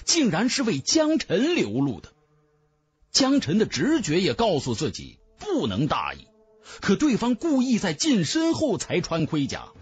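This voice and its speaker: A man's voice: fairly high pitch, husky. A man narrating a fantasy novel as a dramatic scripted audiobook. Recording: music bed under the voice.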